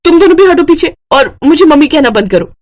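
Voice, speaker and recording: A woman voicing a scheming, haughty young model in a family drama story, with sharp, scornful delivery. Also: high-pitched, sing-song, a little fast, pitched above the performer's own voice. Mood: angry, scornful. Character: scheming young female model, haughty and selfish when cornered.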